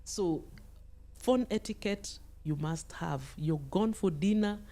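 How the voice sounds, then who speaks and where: A middle-aged woman with a fairly low, mellow and relaxed voice. She talks as a podcast guest giving advice, conversational yet emphatic, often repeating a phrase to drive it in. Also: takes it slowly.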